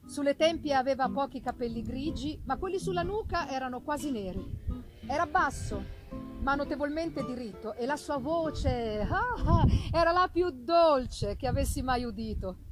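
A woman giving a dramatic literary narration in the first person, deliberate and theatrical, pausing for effect. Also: sits high, expressive, music in the background. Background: live musical accompaniment.

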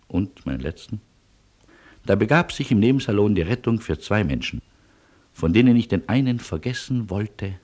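An actor playing a wry, world-weary refugee in a German radio play, with theatrical irony. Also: male voice, low voice, a little mellow. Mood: wistful narration. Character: wry, world-weary male refugee, witty and self-sacrificing.

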